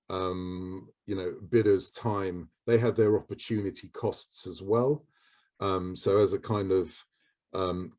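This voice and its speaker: A man's voice: fairly low pitch, a little mellow. A man answering questions on a video call panel, hesitant and full of "um"s. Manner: hesitant, many ums.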